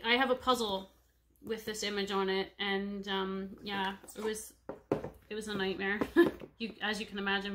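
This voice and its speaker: A woman in her thirties talks to her webcam at home, her nose stuffed up and congested. Her voice is bright and a touch sharp, and she wanders from thought to thought with plenty of fillers. She keeps it friendly and chatty with her viewers. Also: mid-range voice, slight background noise. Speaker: cross-stitch vlogger. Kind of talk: casual show-and-tell chat. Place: home room with bookshelves. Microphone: webcam at desk distance.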